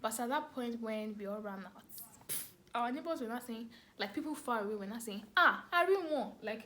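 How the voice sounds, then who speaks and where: A woman's voice: fairly high pitch, animated, normal speed, bright, forceful tone, a little hiss or hum. A young woman with a Nigerian accent telling a personal story casually and expressively to camera, repeating phrases for emphasis. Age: young adult. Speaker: vlogger, storyteller.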